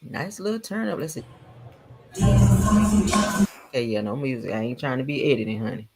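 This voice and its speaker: A woman hosting a gossip livestream, giving casual reaction commentary in a sassy, colloquial way with drawn-out asides. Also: extremely low pitch, big pitch swings, loud music behind the voice.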